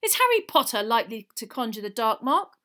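A woman's voice: mid-range voice, expressive, normal speed, natural voice. A woman voicing a reasonable, protective male wizard, firm and reasoning, in a storybook reading. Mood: angry, protective.

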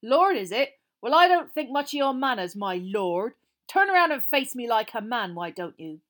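Woman acting a gruff old storybook man, loud, blunt, defiant, pitched up. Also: animated, brisk. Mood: rough, scornful. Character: defiant, gruff old working man, stubborn and plain-spoken.

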